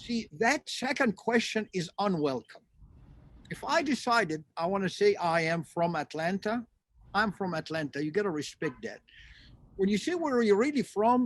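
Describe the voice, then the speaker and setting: A man's voice: high-pitched, very animated, brisk. A man from Egypt with an Egyptian accent, speaking informally in a panel discussion on a video call and animatedly acting out imagined exchanges. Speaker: symposium speaker.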